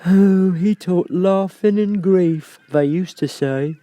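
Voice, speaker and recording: Doleful old storybook turtle, very slow, muffled dark tone, shifted high, sighing, self-pitying. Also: male voice, dry recording. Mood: wistful. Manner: drawn-out, sighing, doleful delivery. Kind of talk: storybook character voice.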